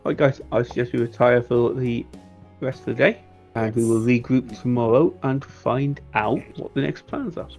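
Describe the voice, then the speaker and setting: A man's voice: mid-range voice, moderate pace, darkish tone, dull audio, soft background music. A man playing a tabletop roleplaying game, talking casually in and out of character.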